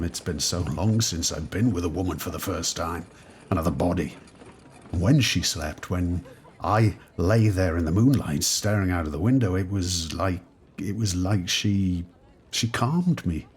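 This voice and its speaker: An actor playing a troubled, guilt-ridden middle-aged time traveller with a husky voice, in an audio drama. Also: male voice, low voice, normal speed, a little hiss or hum, close to an everyday voice. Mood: rueful, reflective. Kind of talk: audio drama diary monologue and dialogue.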